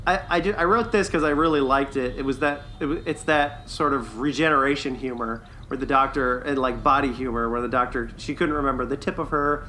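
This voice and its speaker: A man's voice: medium pitch, bright, forceful tone. A man co-hosting a review podcast, chatting casually and enthusiastically as a fan. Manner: enthusiastic, quick to chuckle.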